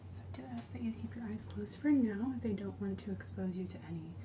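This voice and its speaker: A soft-spoken woman who often drops into a breathy whisper, gently explaining each step as a medical examiner in an ASMR roleplay. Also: medium pitch, quick pace, background noise.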